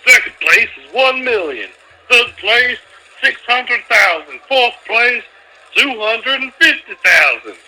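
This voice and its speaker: A man's voice: very high voice, lively intonation, slow pace, bright, forceful tone, exaggerated voice, poor audio. A man in an amateur fan dub playing an older, blustering race promoter with an emphatic delivery. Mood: formal, announcing. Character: older male race promoter, blustering boss who frets in private.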